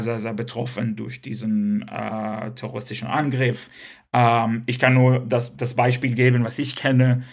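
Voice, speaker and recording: A man, a Hebrew speaker, answering podcast interview questions hesitantly with many fillers and restarts. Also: medium pitch. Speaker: guest.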